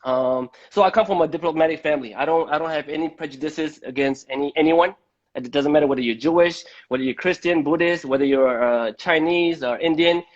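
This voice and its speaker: A man from Malaysia with a slightly high voice hosts a live online talk. He speaks conversationally but haltingly, doubling back on words. The audio is dull and lo-fi. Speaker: host of a live debate. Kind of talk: conversational live-stream interview.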